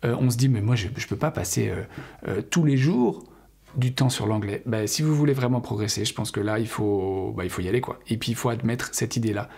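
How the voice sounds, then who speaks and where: A middle-aged French man talks straight to his viewers in an earnest, encouraging way, often stopping on fillers and restarting his sentences. His voice sits at an ordinary pitch, with a gravelly, husky grain to it. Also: brisk, a little mellow. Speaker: English teacher. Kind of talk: casual spoken lesson to camera.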